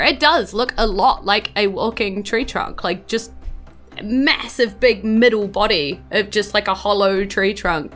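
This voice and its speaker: A woman's voice: medium pitch, fairly bright tone. A woman narrating a tabletop fantasy game as game master. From New Zealand. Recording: faint music bed behind speech.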